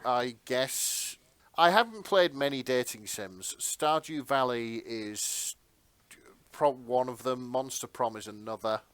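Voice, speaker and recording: A man's voice: medium pitch, slow pace, fairly bright tone, smooth, dry recording. A man co-hosting a podcast in casual banter, restarting sentences and swearing with emphasis. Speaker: podcast co-host. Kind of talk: casual banter among friends.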